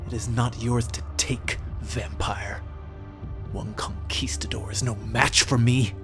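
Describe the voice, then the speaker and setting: A male actor voices a proud merfolk shaman in a fantasy audio drama. He speaks slowly and solemnly, with the command of someone hungry for power and unwilling to yield. Music plays clearly behind him. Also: medium pitch, steady tone. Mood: defiant.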